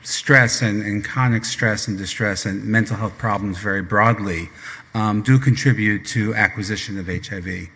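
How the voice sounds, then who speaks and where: A man on a conference panel gives earnest remarks in a lowish voice, pausing often on fillers. His words trail off into a long hall reverb. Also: steady tone, pushed and bright. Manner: earnest, with frequent filler pauses.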